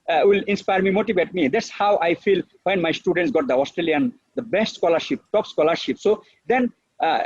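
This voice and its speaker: A man's voice: a little high, breathy edge, dry recording. A middle-aged man from Bangladesh with a Bangladeshi accent, giving an earnest, unscripted webinar lecture full of fillers. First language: Bengali. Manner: earnest, repetitive, with frequent fillers. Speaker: professor giving a webinar talk. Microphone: video call. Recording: dull, lo-fi online call audio.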